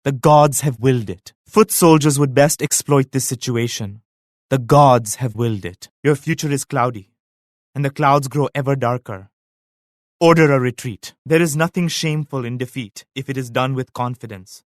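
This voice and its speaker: An actor playing a devout, solemn emperor and military leader in a strategy game, with measured, grave, pious declamation. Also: male voice, medium pitch, no echo, clean studio sound, close to an everyday voice. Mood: solemn, resolute. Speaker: video game character voice actor. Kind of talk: scripted strategy game voice lines.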